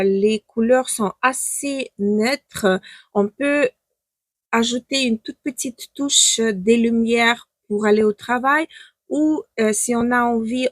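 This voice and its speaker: A young woman with a Ukrainian accent, chatting casually about eyeshadow palettes on her beauty channel, pausing often with "euh" and restarting her sentences. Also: on the high side, no room sound. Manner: chatty, with frequent hesitations and restarts. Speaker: beauty vlogger. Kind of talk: casual makeup review.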